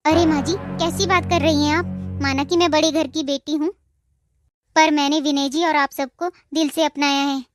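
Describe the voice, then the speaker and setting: A woman voicing a gentle, polite young newlywed daughter-in-law in a cartoon, with a soft, respectful manner. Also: sits high, fairly even intonation, moderate pace, no room sound, faint music behind, lightly acted. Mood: sweet. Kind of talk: Hindi cartoon dubbing.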